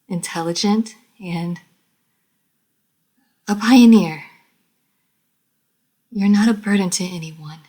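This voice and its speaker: A woman voicing a refined, proud lady vampire who is easily flustered, speaking formally and with measured care in an audio roleplay. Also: lowish voice, a little mellow. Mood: earnest self-encouragement. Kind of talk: scripted audio roleplay addressed to the listener.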